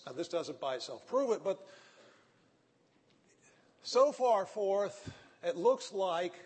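A man with a Texan accent lectures, pressing an argument one step at a time and often stopping to ask "right?" or "okay?". His voice sits high for a man and sounds slightly husky. It carries a long, ringing reverb from a large hall. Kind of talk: ethics lecture. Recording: echoey hall.

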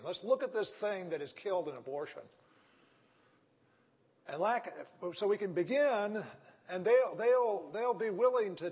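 Husky-voiced Texan man lecturing in a hall. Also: on the high side. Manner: argumentative, checks in with frequent "right?" and "okay?". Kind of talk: ethics lecture. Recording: echoey hall.

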